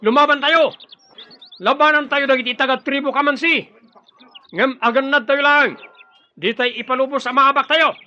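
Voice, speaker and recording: A loyal young-spirited warrior in an Ilocano radio drama. His voice is high and very sharp, on a slightly dull recording. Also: steady tone, moderate pace, pauses often, heavily acted. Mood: fierce, rallying.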